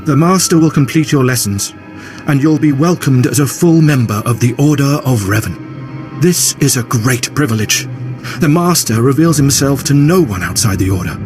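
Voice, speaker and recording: An actor playing a reverent cult initiator in a video game, speaking in a measured, approving manner. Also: male voice, mid-range voice, expressive, music in the background, close to an everyday voice. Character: reverent male cult initiator welcoming a recruit. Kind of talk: video game dialogue acting.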